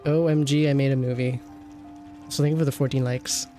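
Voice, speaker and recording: A woman's voice: extremely low pitch, fairly even intonation, fairly quick, dark tone, soft background music. A woman gaming streamer giving casual reaction commentary in dry, wry asides.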